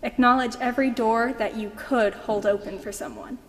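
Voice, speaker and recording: A woman's voice: a little high, fairly even intonation, normal speed. A young woman delivering a prepared homily in a church. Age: young adult. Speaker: youth speaker giving a homily. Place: large church sanctuary. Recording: hall reverb.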